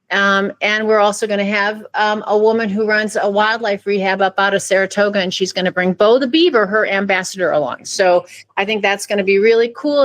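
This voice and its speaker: Upbeat woman sharing a committee update. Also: mid-range voice. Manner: upbeat, casual with fillers. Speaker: climate committee member. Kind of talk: meeting update.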